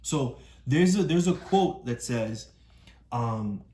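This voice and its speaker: A woman's voice: a bass voice, animated, takes it slowly, mellow, echoey room, a little hiss or hum. A woman coaching a child through a story read-aloud, explaining each part patiently and often checking that the child understands. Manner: encouraging, keeps checking understanding.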